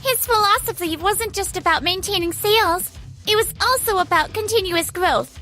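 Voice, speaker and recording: A woman's voice: very high voice, normal speed, pushed and bright, faint music behind. A woman playing an earnest, outspoken young female office worker in an anime-style manga dub. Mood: insistent.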